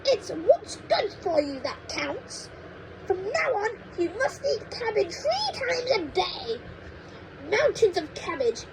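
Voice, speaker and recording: Storybook granny, played by a woman: tiny, old, bossy, snappish, high-pitched and slow, emphatic scolding. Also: big pitch swings, exaggerated voice. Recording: noisy low-quality home microphone recording.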